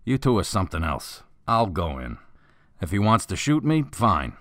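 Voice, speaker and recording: A man's voice: low-pitched, sing-song, moderate pace, a bit bright, dry recording, clean studio sound. An actor playing a weary, exasperated middle-aged police officer in a radio drama, grumbling as he goes. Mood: weary exasperation.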